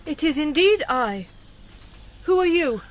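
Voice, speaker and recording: An actress playing a frightened, pleading young princess in a gothic radio drama, melodramatic in manner. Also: female voice, sits high, animated, a little slow, slight background noise. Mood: grateful, anxious.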